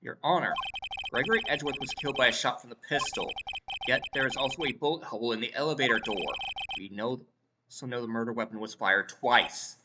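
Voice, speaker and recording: A woman's voice: rumbling low, fairly quick, very noisy. A woman voicing an earnest, dogged young male defense attorney in a video game, with emphatic delivery. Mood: assertive. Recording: distant mic, loud game music.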